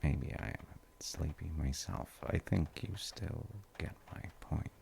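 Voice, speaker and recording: A man playing a gentle, reflective dad in an intimate audio roleplay, musing softly and reassuringly to a tired child with thoughtful pauses. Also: slight background noise, full character voice. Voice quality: gravelly, creaky low voice. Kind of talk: soft intimate sleep-aid audio roleplay.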